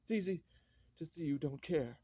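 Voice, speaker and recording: A woman's voice: sits low, normal speed, dark tone, breathy edge, no room sound, highs cut off. An actress playing a stern, domineering old mother-in-law in a classic stage drama, imperious and disapproving. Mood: scornful.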